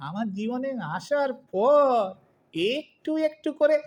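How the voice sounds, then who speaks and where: A woman's voice: high-pitched, lively intonation, takes it slowly, darkish tone. A woman playing a lovesick, whining old husband in a comic audio drama, dreamy and plaintive as he protests.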